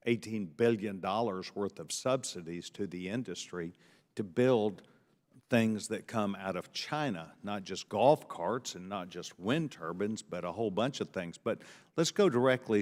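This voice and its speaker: A man's voice: lowish voice, a little mellow. A man questioning witnesses at a committee hearing, pressing and repeating himself as he interrupts. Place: large hearing room. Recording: hearing room with long reverb.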